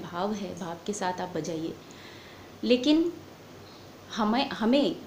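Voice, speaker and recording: Echoey woman teaching. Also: mid-range voice. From India. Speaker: music lecturer, vocal teacher. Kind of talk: instructional lecture on singing. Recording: echoey room with faint background noise.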